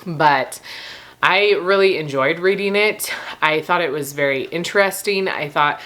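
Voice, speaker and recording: A woman with a slightly rough, recovering voice, rambling casually and informally to camera as she reviews books. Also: deep voice, a little slow, fairly bright tone. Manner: informal, laughs often while talking. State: slightly rough, gravelly voice after losing it. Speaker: book review vlogger.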